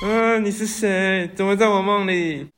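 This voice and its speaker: A frightened young man, played by a teenage boy protests in agitation in a radio play. His voice jumps high with panic. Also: fairly even intonation, measured pace, fairly bright tone, faint music behind. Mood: panicked.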